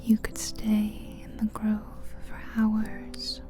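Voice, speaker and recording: A woman whispering a slow, soothing guided visualization as an ASMR host, close to the mic. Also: medium pitch, completely flat delivery, dark tone, soft background music. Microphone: close mic, ear to ear.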